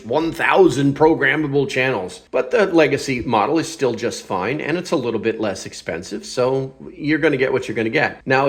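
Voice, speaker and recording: A man hosting a casual hands-on product review, speaking in a blunt, informal, opinionated way. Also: medium pitch. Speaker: product reviewer and channel host.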